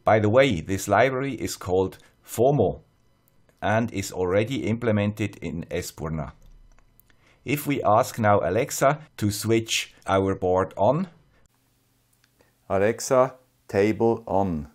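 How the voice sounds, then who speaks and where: A man with a Swiss accent narrating a scripted tutorial in a measured, plain-spoken, step-by-step way. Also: on the low side, lively intonation. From Switzerland. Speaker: host of an electronics tutorial channel.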